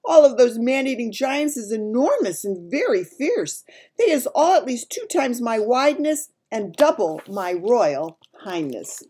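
Storybook giant, played by a woman: runty, gentle male, raised pitch, animated, muddled grammar and nonsense words. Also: unhurried, slightly rough, full character voice. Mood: boastful yet humble. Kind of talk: dramatised children's storybook reading.

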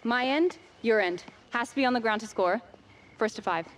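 An actress playing a cheeky, competitive teenage younger sister who teases and bargains in a video game drama. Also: female voice, a little high, normal speed, slight background noise, close to an everyday voice. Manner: teasing, bargaining. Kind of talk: naturalistic video game cutscene acting.

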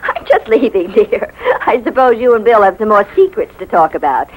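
A woman's voice: mid-range voice, animated, moderate pace, close to an everyday voice. An actress playing a tender, tired young widowed mother, sweet and genteel, in a 1950s radio drama. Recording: noisy 1950s radio broadcast.

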